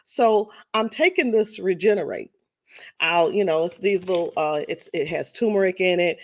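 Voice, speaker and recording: A woman's voice: on the low side, normal speed. A woman in her fifties talking casually to her viewers, chatty and repetitive, often restarting her sentences. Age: 50s. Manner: chatty, repeats herself, addresses viewers as y'all. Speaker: vlogger. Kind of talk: casual testimonial monologue.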